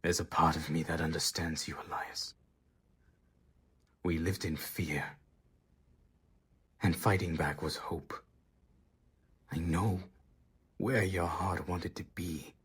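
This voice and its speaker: Man acting a slow, husky, grim hunter. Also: low voice, steady tone, fairly bright tone, exaggerated voice. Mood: sorrowful. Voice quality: husky, breathy. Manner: slow, solemn, deliberate. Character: grieving, grim gothic monster hunter, a younger brother. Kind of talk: gothic audio drama acting.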